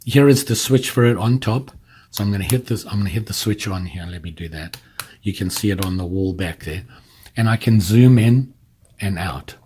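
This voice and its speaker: A man's voice: fairly low pitch, moderate pace, a little mellow, a little hiss or hum. A man giving a casual hands-on product demonstration, informally explaining step by step as he handles the device. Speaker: product reviewer.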